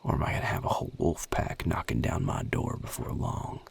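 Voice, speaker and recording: A man playing a weary, protective vampire sire in an intimate audio roleplay, with a Southern US accent, a husky, gravelly low voice and a folksy, guarded drawl. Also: sing-song, brisk, exaggerated voice. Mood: weary, resolute. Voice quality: very deep, gravelly, husky and breathy. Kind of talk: audio roleplay drama, intimate one-sided dialogue.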